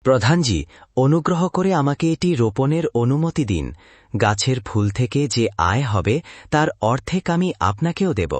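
A man's voice: medium pitch, big pitch swings, a little slow, dry recording. A man voicing a humble, hopeful gardener in a cartoon moral tale, with an earnest, deferential way of talking. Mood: pleading, respectful.

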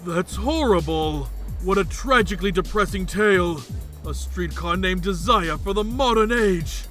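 A man voicing an eccentric, excitable old gentleman in a comedy cartoon, florid and over-dramatic. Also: sits high, measured pace, faint music behind. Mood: theatrical, knowing.

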